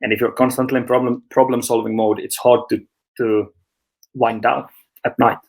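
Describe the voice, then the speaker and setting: Man coaching, hesitant and halting. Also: mid-range voice, flat delivery. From Finland. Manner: hesitant, many fillers and restarts, laughs often. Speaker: sleep and mindset coach. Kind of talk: podcast interview answers.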